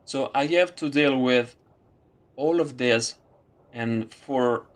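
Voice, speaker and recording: A man from Italy talks in English, not his first language. He speaks slowly, feeling for each word with plenty of pauses and restarts. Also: mid-range voice. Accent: Italian. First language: Italian. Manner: halting, many fillers and restarts. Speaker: student. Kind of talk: casual conversation.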